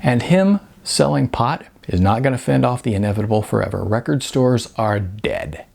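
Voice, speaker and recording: Audiobook role: exasperated young male snob, acted by a male narrator, sardonic, argumentative, animated delivery. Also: mid-range voice, normal speed, vocal fry. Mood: resigned. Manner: sarcastic, argumentative.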